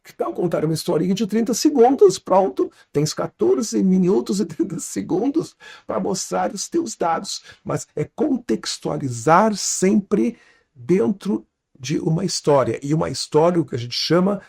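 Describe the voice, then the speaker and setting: A man in an interview explaining storytelling with examples, speaking Portuguese learned in southern Brazil with a foreign accent and an animated teaching manner. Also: high voice, measured pace, vocal fry, slightly rough. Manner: animated teacher, drops to quiet asides, tags lines with "tá?". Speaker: storytelling consultant, guest.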